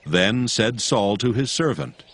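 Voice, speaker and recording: A man narrating scripture from a script in a measured storytelling manner. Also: lowish voice, expressive, a bit bright.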